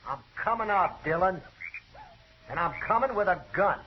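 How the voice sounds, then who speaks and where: Defiant Old West gunman at bay, high and threatening, in a dull, noisy vintage radio western. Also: animated, moderate pace. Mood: desperate defiance. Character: cornered, defiant male outlaw of the Old West. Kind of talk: 1950s radio western acting.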